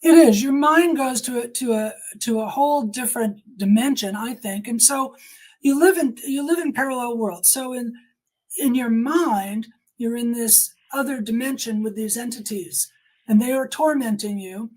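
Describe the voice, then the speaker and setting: A woman's voice: fairly high pitch, normal speed, a little mellow, rough recording. A woman giving personal testimony in an interview, speaking emphatically and repeating phrases to drive points home.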